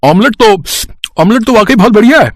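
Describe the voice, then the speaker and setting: A man's voice: high-pitched, lively intonation, fairly quick, slight background noise. A man voicing a middle-aged city tourist who anxiously asks about a place to stay. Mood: pleased.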